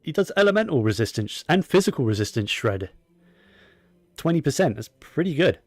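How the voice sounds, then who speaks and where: A man's voice: fairly high pitch, big pitch swings, brisk, no room sound. A man giving casual gameplay commentary, rambling and opinionated, often talking to himself as he plays. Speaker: gaming streamer.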